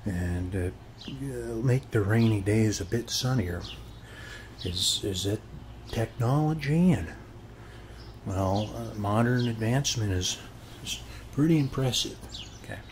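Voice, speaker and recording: Slow, rambling friendly neighbor played by a man. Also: fairly low pitch, a little hiss or hum, close to an everyday voice. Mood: musing, gentle. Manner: rambling, hesitant, folksy, often adding "okay?". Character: friendly, folksy, rambling middle-aged suburban neighbor man. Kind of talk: ASMR roleplay, soft-spoken one-sided chat.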